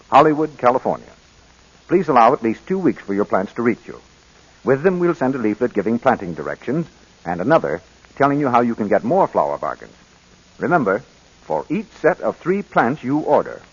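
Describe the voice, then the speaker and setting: An American man reading scripted announcements in the style of a 1940s radio advertising announcer, with a husky voice. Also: mid-range voice. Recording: dull old radio recording.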